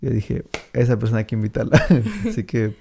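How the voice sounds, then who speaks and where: A man's voice: medium pitch, very animated, a little fast, creaky, dark tone, a little hiss or hum. A man hosting a podcast in casual chat, rambling with many fillers and easy laughter. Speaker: podcast host.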